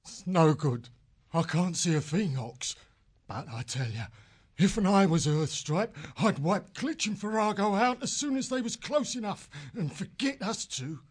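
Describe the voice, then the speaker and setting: Man doing a rough old-soldier hare sergeant. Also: sits high, animated, measured pace, voice pushed up, full character voice. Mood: grim. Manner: rough folksy speech, taunting. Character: tough rustic male hare boxing sergeant.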